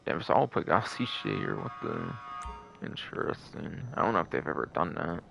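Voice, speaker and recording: Deep, gravelly man rambling on stream. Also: animated, moderate pace, over music. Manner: rambling, repeats and second-guesses himself. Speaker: game livestream host. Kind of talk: casual livestream commentary.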